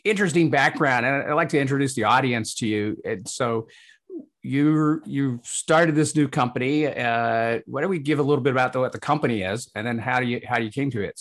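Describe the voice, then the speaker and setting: A man's voice: mid-range voice, fairly bright tone. Hesitant male podcast host. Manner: hesitant, restarts phrases. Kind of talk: casual interview over video call. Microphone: video call.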